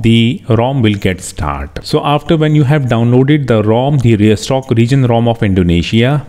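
A man's voice: medium pitch, warm tone. A man narrating a step-by-step tech tutorial as its host, in an instructional way that repeats key steps.

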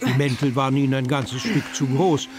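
A man's voice: medium pitch, brisk, lightly acted. A man narrating a children's fantasy audio drama as its storyteller. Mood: storytelling.

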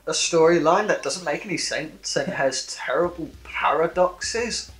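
A man's voice: a little high, measured pace, fairly bright tone, roomy sound. A man chiming in as co-commentator on a video game with casual, sardonic remarks. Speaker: gameplay co-commentator.

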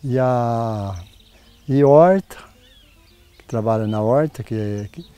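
A man in his sixties, a farmer, answering interview questions outdoors in a plain, halting way. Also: mid-range voice, warm tone, clear, resonant tone, faint music behind. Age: 60s. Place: outdoors on a farm.

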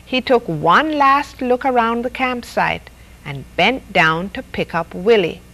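Slow, lilting woman reading a storybook aloud. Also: on the high side, low-quality recording. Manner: expressive, acts out characters' lines. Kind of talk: children's storybook read-aloud. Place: studio set dressed as a reading nook with stuffed toys.

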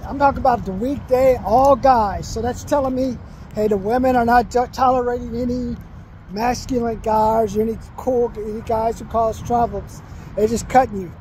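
A man in his fifties with a high-pitched voice talks into a phone held at arm's length while he walks outside. He hammers home his advice with urgent repetition, and faint traffic hums behind him. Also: a little mellow, rough recording. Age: 50s. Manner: preachy, repeats himself, urgent advice. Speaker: vlogger. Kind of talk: walking selfie vlog. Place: outdoors by a commercial complex, trees and sky. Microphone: phone held at arm's length while walking.

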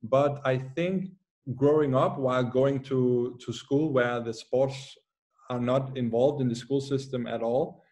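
A young man from Denmark with a Danish accent, a footballer answering interview questions calmly over a video call, pausing often. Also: mid-range voice. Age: young adult. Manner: calm, measured, with many "uh" pauses. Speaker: guest, professional footballer. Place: plain room at home.

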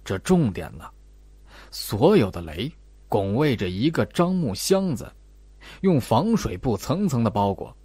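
A man's voice: medium pitch, very animated, unhurried, dark tone, breathy edge, no echo. A man voicing a condescending, long-winded older male investigator in an audiobook, with a drawling, patronizing way of talking. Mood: explaining. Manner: drawling, patronizing, sentence-final particles. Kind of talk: audiobook character dialogue.

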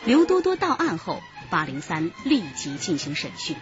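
A woman's voice: sits high, huge pitch swings, normal speed, highs cut off. A woman narrating a crime radio drama in a dramatic, scripted style. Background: music.

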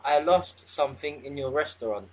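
A man's voice: a little high, lively intonation, moderate pace, a little mellow, breathy edge. A man playing an angry, entitled, foul-mouthed young mayor's son on a prank phone call. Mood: insistent. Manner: swearing, threatening, indignant. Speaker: prank caller.

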